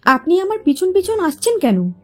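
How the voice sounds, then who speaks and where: Woman reading as a guarded, high-voiced young woman. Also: big pitch swings, normal speed, a little mellow. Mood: irritated. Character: wounded, proud, guarded young office woman. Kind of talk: dramatised audio short story.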